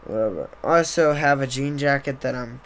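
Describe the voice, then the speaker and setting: A young man, slightly hoarse, chatting casually on a live stream into a desk mic on a boom arm, rambling with many fillers. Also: brisk, fairly bright tone. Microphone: desktop USB mic on a boom arm.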